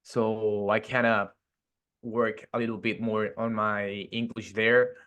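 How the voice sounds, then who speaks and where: A man's voice: fairly low pitch, slow, lo-fi sound. Mexican man chatting casually about his life. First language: Spanish. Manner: relaxed, many fillers and restarts. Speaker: gym owner, guest. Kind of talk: casual interview chat.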